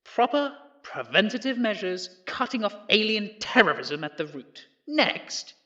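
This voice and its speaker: An actor playing a cocky, combative populist prime minister with brash, glib oratory in an audio drama debate. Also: male voice, high voice, very animated, unhurried, pushed and bright, muffled recording, heavily acted.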